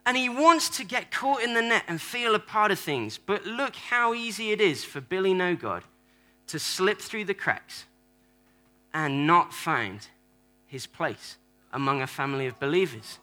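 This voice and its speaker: Bright-voiced animated preacher man. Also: high voice. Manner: animated, playful, acts out church members. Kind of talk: sermon with audience props. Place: church hall.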